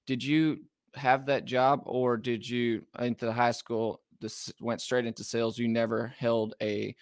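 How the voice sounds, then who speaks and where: A man's voice: medium pitch, no room sound, clean studio sound. A man in his twenties hosting a podcast interview, upbeat and eager, with constant affirmations. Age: 20s. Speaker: podcast host. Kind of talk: interviewing, casual chat.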